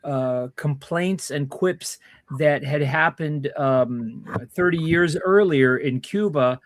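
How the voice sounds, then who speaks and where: A man's voice: on the high side, slow, no echo. A man hosting a casual political chat, explaining history at length in a rambling way. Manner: rambling explainer, many fillers and restarts.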